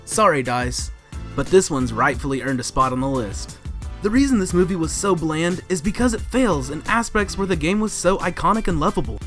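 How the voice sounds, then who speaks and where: A high-voiced male co-host narrates a comedic movie countdown. His delivery is very animated and mock-dramatic, with emphatic announcements over a light music bed. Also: moderate pace.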